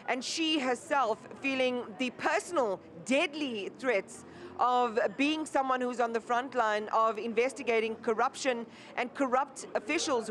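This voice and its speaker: Anchorwoman, slightly high voice, formal and articulate. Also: fairly bright tone. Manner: formal and articulate, with frequent fillers. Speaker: news anchor. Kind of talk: live news interview.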